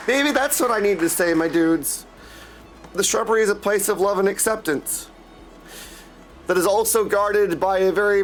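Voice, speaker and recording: A man's voice: high-pitched, poor audio. A man hosting a casual live stream, chatting to his viewers in an effusive, gushing way and calling them dudes. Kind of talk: casual livestream chat to viewers.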